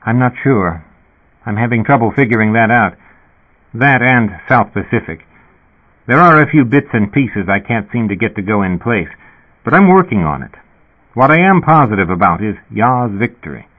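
A man's voice: fairly low pitch, very animated, moderate pace, husky. Man voicing a rambling confused man, tinny. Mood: earnest. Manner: earnest, rambling. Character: confused, deluded man fresh from cryonic suspension. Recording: tinny phone-like sound.

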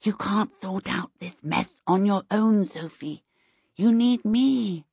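A woman voicing a coy little boy in a storybook, with a sweet, soft lisp and an earnest, wheedling way. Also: mid-range voice, creaky, slightly rough, no echo, muffled recording, heavily acted. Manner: coy, drawn-out, devout politeness.

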